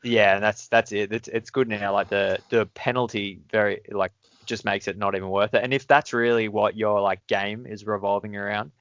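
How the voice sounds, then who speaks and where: A man's voice: fairly low pitch, flat delivery, moderate pace, a bit bright, no echo. A man hosting a podcast, easygoing and rambling, often laughing along. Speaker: podcast host. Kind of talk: casual podcast chat.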